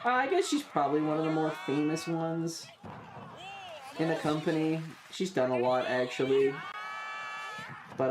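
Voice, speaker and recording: A man streaming casual live reaction commentary, talking in an excitable, slangy and profane way. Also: medium pitch.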